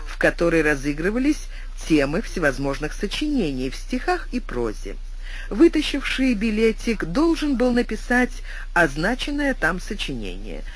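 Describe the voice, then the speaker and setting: A man reading a classic tale aloud as an audiobook narrator, in expressive scripted storytelling. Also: high-pitched.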